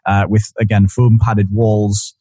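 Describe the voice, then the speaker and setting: A man's voice: on the low side, flat delivery, takes it slowly, mellow, no echo. A man co-hosting a podcast, easygoing and adding technical details, recorded on a studio mic in an office corner. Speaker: podcast co-host. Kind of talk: casual chat. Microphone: studio condenser mic on boom arm.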